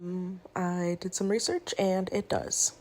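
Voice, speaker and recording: A woman's voice: on the low side, fairly even intonation, takes it slowly, a little mellow, slight background noise. A young woman vlogging in casual, rambling chat, sniffly and full of "like". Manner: rambling, chatty, heavy use of "like".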